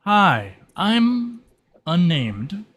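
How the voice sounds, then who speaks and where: A man's voice: sits high, very animated, drawn-out words, a bit bright, slightly rough, voice pushed up, full character voice. A man voicing a flamboyant, wryly friendly demon spirit in a tabletop game, musing theatrically and hesitantly, often repeating words. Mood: coy, musing.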